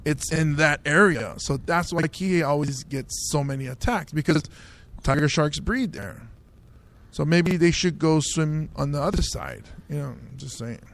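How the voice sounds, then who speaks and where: Samoan comedian guy hosting a podcast, kinda raspy and high, cracking jokes. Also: animated, a little slow. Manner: riffing, jokey, laughs at own bits. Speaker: host, stand-up comedian. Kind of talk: casual comedy podcast banter.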